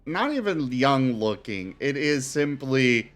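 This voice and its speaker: Male player, slow unhurried talk, a bit hesitant, faint music behind. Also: mid-range voice, sing-song. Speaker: tabletop game player. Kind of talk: casual chat.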